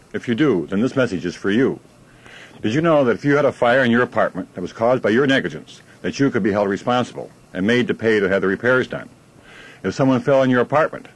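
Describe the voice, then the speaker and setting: A man's voice: lowish voice, slightly rough, low-quality recording. A man delivering a scripted radio advertisement as a local insurance agent.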